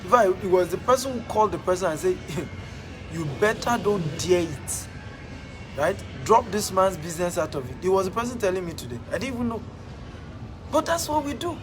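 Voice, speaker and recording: Nigerian male motivational speaker, high-pitched and emphatic, repeats lines for punch; music bed throughout. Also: animated, fairly quick, low-quality recording. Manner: emphatic, repeats phrases for effect. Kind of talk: motivational talk.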